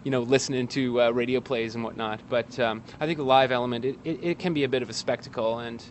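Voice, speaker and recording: Guy being interviewed outside in an alley, kinda halting and wry, mid-pitched voice, some traffic noise. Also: steady tone. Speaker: guest, actor and playwright. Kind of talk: casual interview chat. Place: outdoors in a city alley. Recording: street noise, traffic outdoors.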